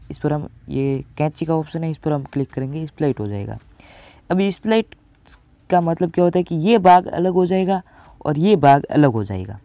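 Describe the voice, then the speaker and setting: Teenage male, slightly high voice, casual tutorial presenter, friendly address to viewers, dry sound. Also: dark tone, no echo, muffled recording. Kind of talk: casual step-by-step video editing tutorial.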